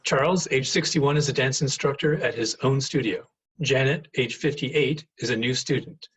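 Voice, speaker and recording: A man reading stage directions as scripted narration, with a husky voice. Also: mid-range voice, moderate pace, a bit bright, rough recording. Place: indoors, on a video call.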